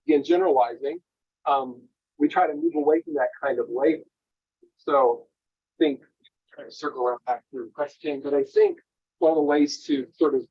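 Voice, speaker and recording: A man's voice: fairly high pitch, vocal fry, warm tone, slightly rough, no echo, highs cut off. A man answering an audience question at a conference, speaking thoughtfully and qualifying his general claims. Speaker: keynote speaker.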